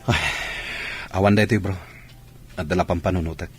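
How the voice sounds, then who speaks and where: A man's voice: low voice, fairly even intonation, moderate pace, soft background music, lightly acted. A man playing a troubled, wronged man in a radio drama, speaking solemnly and earnestly.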